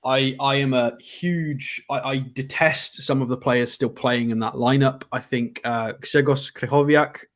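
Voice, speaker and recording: A man's voice: medium pitch, steady tone, a little slow, hardly any pauses. A man co-hosting a casual live football chat show, blunt and opinionated, with frequent hesitant ums. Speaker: football pundit co-host.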